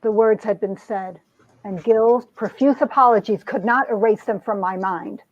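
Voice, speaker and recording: A woman's voice: medium pitch, moderate pace, no echo, close to an everyday voice. A woman playing a wounded, proud young heroine, narrating and acting out a romance comic with earnest melodrama. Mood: melodramatic narration. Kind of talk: dramatic reading of a 1950s romance comic, narration and dialogue. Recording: dull, lo-fi sound.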